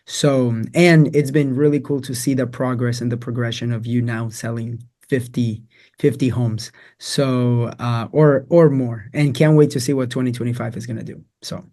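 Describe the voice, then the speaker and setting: A man hosting an investing podcast, asking questions in a relaxed, encouraging way. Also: medium pitch, moderate pace. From immigrant to the US. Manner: encouraging, repeats praise. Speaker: podcast host. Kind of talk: casual interview hosting.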